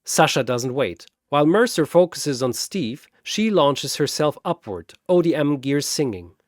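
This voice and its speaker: A man's voice: fairly high pitch, animated, a little slow, dry recording, studio quality. A man narrating a scripted battle story and announcing its fighters in a dramatic style.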